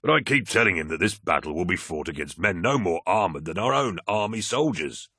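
A stern, practical soldier, voiced by a man narrating a novel. The voice is low and husky, insistent and solemn as it argues a point. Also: lively intonation, brisk, pushed and bright, no room sound, clean studio sound, exaggerated voice. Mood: argumentative. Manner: insistent, reasoning.

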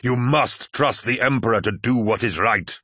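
A man voicing a towering, one-eyed giant warrior in an audiobook, angry yet noble, grave and commanding, with a husky edge. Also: mid-range voice, moderate pace, sharp tone, no echo, clean studio sound. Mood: urgent, pleading.

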